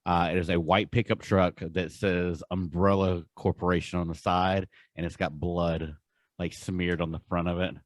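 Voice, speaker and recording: A man's voice: low voice, level tone, normal speed, no echo, studio quality. A man co-hosting a podcast, chatting casually in an emphatic, gushing and informal way. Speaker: podcast co-host. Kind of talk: casual enthusiastic podcast chat.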